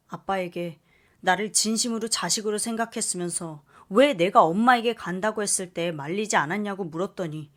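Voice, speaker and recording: A woman narrating a scripted first-person story with an expressive, dramatic delivery. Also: lowish voice.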